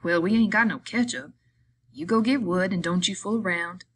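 A woman voicing a gruff, exasperated working man who gives brusque orders in rough rural slang, in an audiobook story. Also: medium pitch, normal speed, a little hiss or hum, natural voice. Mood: bossy. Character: gruff, bossy, exasperated working man minding his friend.

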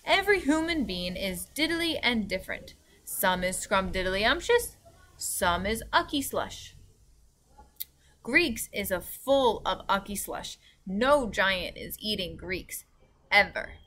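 A woman's voice: medium pitch, sing-song, slow, bright, forceful tone, heavily acted. A woman voicing a huge, boastful man-eating giant from a children's storybook, with emphatic, ungrammatical speech. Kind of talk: children's storybook read-aloud.

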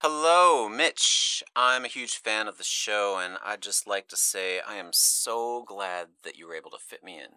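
An actor playing a menacing, volatile kidnapper in an audio drama, mock-polite and theatrical, shifting between hushed and explosive delivery. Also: male voice, lowish voice, expressive, a little slow, hardly any pauses, smooth, no echo, full character voice, rough recording. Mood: fawning, ingratiating. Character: menacing, volatile male kidnapper calling a radio show.

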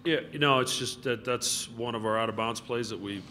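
A man in his fifties, a college basketball head coach, answering press questions in a relaxed, rambling way with many fillers. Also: medium pitch, brisk. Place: press conference room with backdrop. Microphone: table mic. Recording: noticeable room noise.

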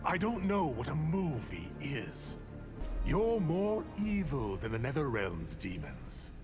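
An actor playing a disdainful, sardonic sorcerer in a video game, theatrical and condescending. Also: male voice, medium pitch, huge pitch swings, takes it slowly, over music. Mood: amused disdain. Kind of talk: video game villain acting.

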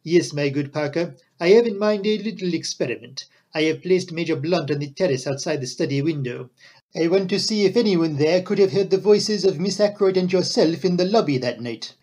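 A man reading an audiobook, voicing a dapper, excitable foreign detective with emphatic, courteous, quaint phrasing. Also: fairly high pitch, brisk. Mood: courteous, scheming. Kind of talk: audiobook character dialogue.

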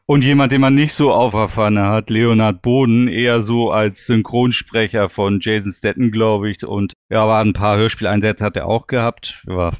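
A man speaks as a podcast panelist in a casual, reminiscing way. His voice sits a little low and is smooth and resonant. The sound is dry and close. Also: no echo.

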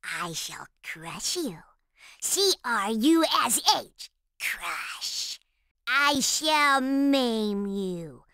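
A woman's voice: fairly high pitch, big pitch swings, sharp tone, no echo, clean studio sound, heavily acted. A woman voicing an evil young girl in a video game, delivering cold, menacing threats in a chanting manner. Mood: cold menace. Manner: slow, chant-like spelled-out threats.

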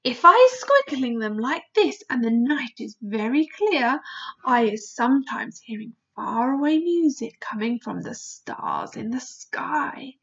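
A woman voicing a gentle, proud, excitable giant in a storybook, with quaint, ungrammatical speech and muddled invented words. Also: a little high, unhurried, muffled recording. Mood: eager, marvelling. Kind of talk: storybook character voice.

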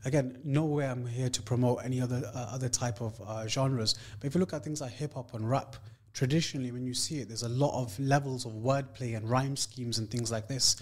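A man's voice: medium pitch, fairly even intonation, a little fast. A man from Leicester, England, a guest poet on a radio show, talking about poetry and reciting spoken-word verse in a rhythmic, emphatic way, recorded in a radio studio. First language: not Urdu.

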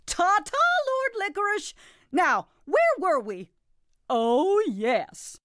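A woman playing a jolly, doting grandmother who hosts an animated children's game in a gushing, sing-song way. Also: high-pitched, slow pace, no room sound, studio quality, heavily acted. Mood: bubbly.

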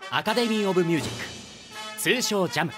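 A man's voice: high-pitched, lively intonation, very fast. A man playing an earnest, exasperated teenage music student in a radio comedy drama, narrating and making emphatic retorts.

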